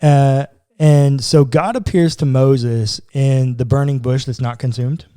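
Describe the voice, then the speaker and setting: A man's voice: mid-range voice, steady tone, measured pace, warm tone, clean tone, no room sound, clean studio sound. A man co-hosting a podcast as a pastor, chatting casually about the Bible with informal, slangy asides. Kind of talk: casual conversational Bible discussion.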